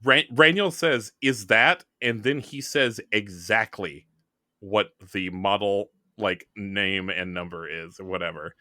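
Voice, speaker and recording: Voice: deep voice, slow, sharp tone, no echo, clean studio sound. A player improvising a jokey, casual scene in a tabletop roleplaying game.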